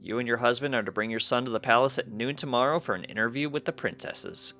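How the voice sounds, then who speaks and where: A man's voice: medium pitch, fast talker, sharp tone, lightly acted. A man plays a royal guard pony in a fantasy story. He sounds formal and official, with music behind him.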